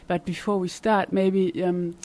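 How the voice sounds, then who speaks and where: A woman's voice: on the low side, flat delivery, unhurried, darkish tone. A woman hosting a podcast interview, asking questions in a hesitant way with frequent fillers. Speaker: podcast host. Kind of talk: conversational interview. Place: conference summit venue.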